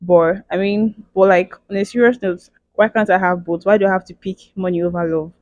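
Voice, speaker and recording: A woman's voice: medium pitch, fairly even intonation, brisk, darkish tone, no echo, dull audio. A young woman, chatting playfully through a question-and-answer vlog, often tagging her lines with "okay". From Nigeria. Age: 20s. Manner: chatty, playful, emphatic, with frequent "okay" and "like" tags. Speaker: vlogger. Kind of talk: casual Q and A vlog.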